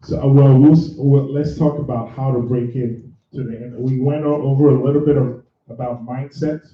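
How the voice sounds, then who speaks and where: A man's voice: mid-range voice, fairly even intonation, normal speed. Man from Nigeria, echoey workshop room. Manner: chatty, self-correcting, laughs with the room. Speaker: career bootcamp host and mentor. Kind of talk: live workshop talk with audience. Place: large room with live audience. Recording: echoey room, far from the mic, dull sound.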